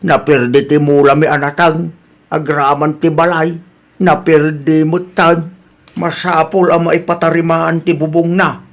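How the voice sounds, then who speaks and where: A man's voice: a little high, flat delivery, a little slow. A man with a Filipino accent playing a humble, anxious elderly farmer father in an audio drama. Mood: troubled.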